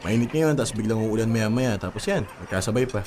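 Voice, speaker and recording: A man's voice: fairly low pitch, quick pace, darkish tone, slight background noise, lightly acted. A man acting an easygoing young adult boyfriend in a radio drama, with a Filipino accent and a casual manner.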